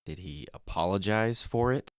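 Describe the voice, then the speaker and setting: An actor playing a skeptical, protective husband who is blunt but supportive, in a naturalistic radio drama. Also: male voice, fairly low pitch, moderate pace, smooth, dry recording, studio quality, close to an everyday voice.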